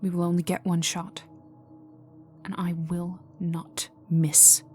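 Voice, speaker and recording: A woman playing a cold, vengeful aristocratic vampire in a dark ASMR roleplay, speaking formally and menacingly and often sinking to a whisper. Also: lowish voice, flat delivery, slow, soft background music. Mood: stern, guarded. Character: cold vengeful aristocratic female vampire, young heiress in mourning.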